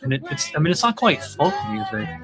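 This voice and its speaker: A man's voice: fairly high pitch, big pitch swings, fairly quick. A man hosting a podcast in a casual chatting style, steering the conversation. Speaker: podcast host. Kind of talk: casual music chat. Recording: music clearly playing behind voice.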